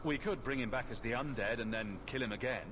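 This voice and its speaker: A man's voice: mid-range voice, quick pace, background noise, lightly acted. An actor playing a direct, sardonic male adventurer in a fantasy game. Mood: dry. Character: wry, blunt male fantasy adventurer hero. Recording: game audio with music behind.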